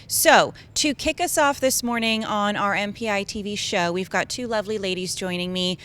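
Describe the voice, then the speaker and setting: A hoarse woman with a raspy voice hosts a live interview at a trade show, upbeat and chatty with plenty of ums. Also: mid-range voice, normal speed, fairly bright tone. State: hoarse, losing her voice. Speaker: show host.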